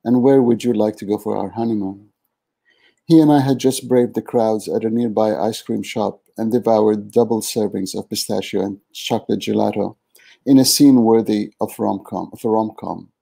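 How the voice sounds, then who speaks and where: Man, 50s, from Yemen, author reading his memoir, measured, husky voice, gravelly, earbud headset video call. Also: fairly low pitch, fairly even intonation, mellow. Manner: measured reading, small restarts. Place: small room at home.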